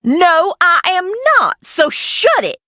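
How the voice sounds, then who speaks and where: An actress playing an eccentric, mischievous and bitter heiress in a video game, theatrical and sardonic, swinging between singsong teasing and hushed menace. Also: female voice, extremely high pitch, big pitch swings, takes it slowly, dry recording, studio quality, exaggerated voice. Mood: indignant. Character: eccentric, bitter, mischievous Southern heiress, secretive asylum graduate. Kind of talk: video game character acting.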